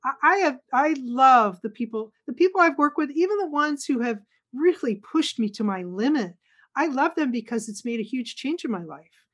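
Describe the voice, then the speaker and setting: A woman's voice: high-pitched, expressive, moderate pace. A middle-aged woman guest in an interview, talking earnestly and emphatically and acting out what others say. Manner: earnest, emphatic, acts out quotes. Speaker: guest speaker. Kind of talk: conversational interview.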